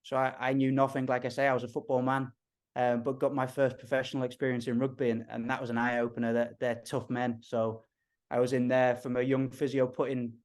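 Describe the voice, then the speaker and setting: A man in his thirties from Liverpool with a Liverpool accent, a strength coach telling his story as a podcast guest in a friendly, relaxed way. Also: medium pitch, fairly quick. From Liverpool, England. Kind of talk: interview guest.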